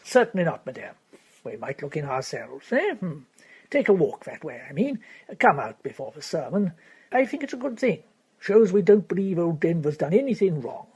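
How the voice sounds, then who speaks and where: A woman voicing a bluff older male colonel who speaks in gruff, clipped bursts with an "eh?" and a "hmm". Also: fairly low pitch, very animated, moderate pace, a little mellow, heavily acted. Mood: hearty.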